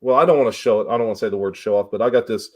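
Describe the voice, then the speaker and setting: A man's voice: on the low side, rapid-fire, a little mellow. A man in his forties hosting a casual chat, telling stories in a plainspoken, folksy way. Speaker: livestream host.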